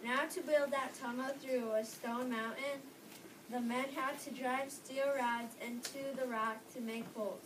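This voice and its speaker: Female story narrator, scripted reading, slightly high pitch, distant and very noisy recording. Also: fairly even intonation, a little slow. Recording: distant mic with loud background noise.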